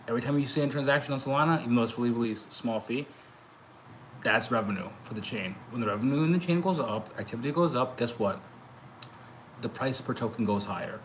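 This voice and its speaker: A man's voice: medium pitch, a little hiss or hum, rough recording. A young man of Dominican heritage talking in a casual vlog monologue, rambling with lots of fillers and restarts. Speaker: crypto vlogger.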